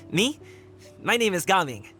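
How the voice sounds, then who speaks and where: Animated, upbeat young guy. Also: high-pitched, exaggerated voice. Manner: casual, awkwardly chuckly, enthusiastic. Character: friendly, bashful, upbeat young male guard.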